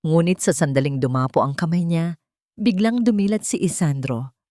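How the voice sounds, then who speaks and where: Female storyteller, lower register, solemn measured delivery for romantic drama, close dry sound. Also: mellow, dry recording. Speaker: story narrator. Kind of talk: dramatic scripted narration of a love story.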